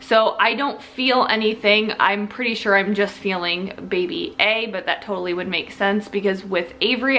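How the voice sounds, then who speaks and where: A woman's voice: medium pitch, a bit bright. A young woman from Ohio giving a casual, rambling vlog update, restarting sentences and laughing a little. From Ohio, United States. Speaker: vlogger.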